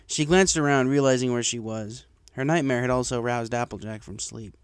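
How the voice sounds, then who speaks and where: A man's voice: medium pitch, normal speed, clear, resonant tone, no room sound. A man reading a story aloud as scripted narration. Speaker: story reader. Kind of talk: scripted narration of fan fiction.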